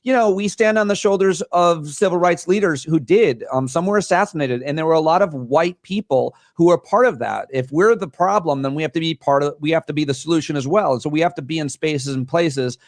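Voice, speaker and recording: A man's voice: on the high side, no echo, clean studio sound. A man in his forties from Central New York, a comedian guest talking passionately and bluntly in an interview. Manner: passionate, outspoken, rapid bursts. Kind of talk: interview conversation.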